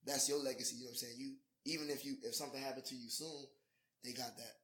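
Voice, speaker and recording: A man's voice: mid-range voice, steady tone, fast, a bit bright. A twenty-one-year-old man, a guest on a podcast, talking reflectively in casual chat and checking in with his listener often. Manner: reflective, keeps saying "you know what I'm saying".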